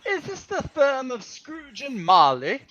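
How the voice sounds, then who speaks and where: A man playing a polite, earnest gentleman collecting for charity in a radio-style play reading, formal in manner, on a slightly dull microphone. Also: extremely high pitch, wildly swooping pitch, unhurried, exaggerated voice.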